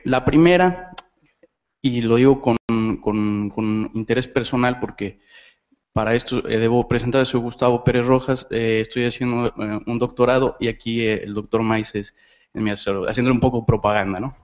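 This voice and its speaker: A young man with a Mexican accent, asking questions from the floor at a lecture, hesitant, with many fillers and repeated words. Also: mid-range voice. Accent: Mexican Spanish. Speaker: audience member asking a question.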